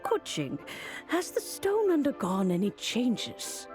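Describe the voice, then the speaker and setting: A serene, lively-toned ancient guardian lady. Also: a little high, faint music behind, exaggerated voice. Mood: calm, knowing. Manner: measured, elegant, formal phrasing. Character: serene, wise, ancient guardian woman, fondly amused. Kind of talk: video game character acting.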